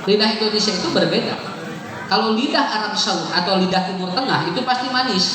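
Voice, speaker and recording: Sundanese preacher joking in an echoey hall. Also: male voice, sits high, fast, a crowd in the background. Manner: chatty, joking, mixing in local words, laughs often. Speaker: Islamic preacher. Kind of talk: lively humorous sermon to a congregation. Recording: echoey hall, far from the mic, noisy.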